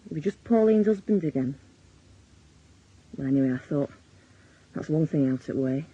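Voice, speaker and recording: A man's voice: fairly high pitch, animated, moderate pace, husky. An actor playing a reflective Northern English man narrating frankly in the first person in a radio drama. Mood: reflective, resigned. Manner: plain, reflective. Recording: slightly dull, lo-fi radio recording.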